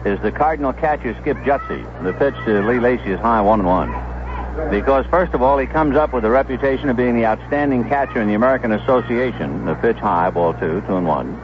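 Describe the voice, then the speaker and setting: A man's voice: medium pitch, fairly quick, lo-fi sound, noisy background. A man giving live play-by-play baseball commentary as a radio announcer on a 1970s broadcast.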